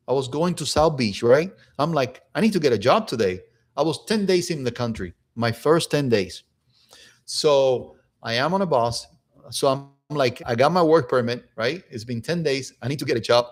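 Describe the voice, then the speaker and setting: A man with a Cuban Spanish accent, a native Spanish speaker, telling an energetic personal story as a motivational speaker. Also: mid-range voice. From Cuba. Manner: energetic, animated, repeats words and restarts.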